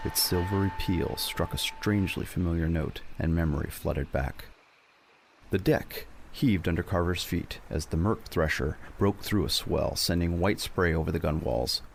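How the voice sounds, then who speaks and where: A man's voice: deep voice, creaky, a little hiss or hum. A man narrating a dramatic scripted adventure story as a storyteller.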